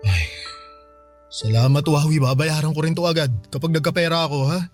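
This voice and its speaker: Actor as strained Filipino husband, slightly high. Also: male voice, a little fast, soft background music, natural voice. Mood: sheepish, grateful. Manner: earnest, strained, hesitant when asking. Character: Marlon, beleaguered husband and uncle short of money. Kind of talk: Filipino radio drama acting.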